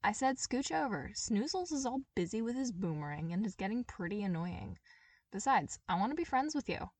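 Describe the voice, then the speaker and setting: A woman's voice: mid-range voice, very animated, moderate pace, darkish tone, no room sound, recorded from a distance. A woman voicing a blunt, bossy young girl who is tough but caring, in a story reading. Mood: bossy, brash. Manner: blunt, demanding.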